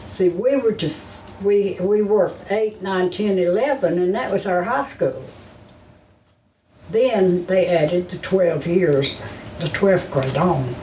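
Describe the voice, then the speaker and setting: A woman's voice: lowish voice, a little mellow. A woman, explaining old school days step by step. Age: 80s. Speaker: interviewee. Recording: echoey room, distant mic, noisy.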